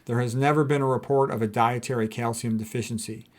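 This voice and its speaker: A man's voice: medium pitch, steady tone, quick pace. A middle-aged American man, a physician, giving an informal lecture in a conversational, opinionated manner. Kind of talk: informal lecture with commentary on quotes.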